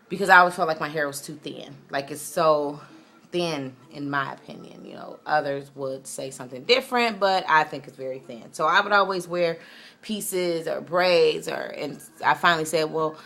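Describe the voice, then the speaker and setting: Low-voiced woman chatting casually. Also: normal speed, creaky, a bit bright. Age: late 30s. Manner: candid, conversational, self-reflective. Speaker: video blogger. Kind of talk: casual personal vlog, rambling chat.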